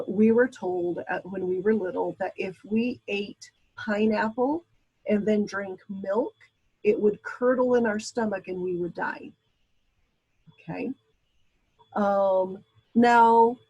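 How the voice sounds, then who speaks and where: A woman's voice: on the high side, darkish tone, husky, poor audio. A woman from Brazil teaching a class in a casual, chatty lecture style, with frequent ums and asides. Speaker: instructor.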